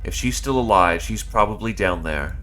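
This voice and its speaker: A man reading out the lines of a wounded android worker, in a flat, quiet read-aloud voice. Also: on the low side, normal speed, soft background music. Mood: grim warning.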